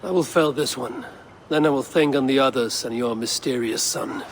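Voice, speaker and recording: An actor playing a steady, grim male Viking warrior who speaks tersely. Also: medium pitch, moderate pace, a little hiss or hum, close to an everyday voice. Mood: determined. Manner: terse, resolved.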